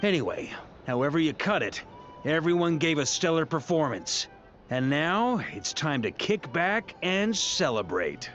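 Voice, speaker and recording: A man's voice: fairly high pitch, big pitch swings, a little slow, a bit bright, muffled recording, a little hiss or hum. An actor voicing a male character in a video game. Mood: breezy.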